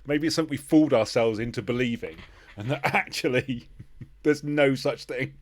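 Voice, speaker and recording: A man's voice: medium pitch, moderate pace. A man in his forties hosting a casual interview, agreeable and a little hesitant as he talks. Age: 40s. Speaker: podcast host interviewer.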